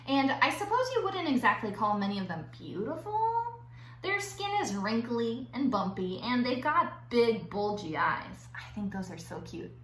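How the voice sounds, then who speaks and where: A woman teacher reading aloud to children in an expressive, playful way, drawing out words for emphasis and adding chatty asides. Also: medium pitch. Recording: roomy echo with faint background noise.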